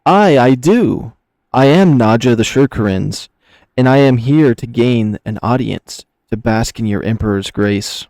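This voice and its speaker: A man's voice: mid-range voice, lively intonation, unhurried, darkish tone, no echo. A man voicing a seductive, mocking lioness chieftain in a visual novel, with a teasing, courtly manner. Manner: teasing, coaxing, theatrically courtly. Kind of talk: visual novel character reading.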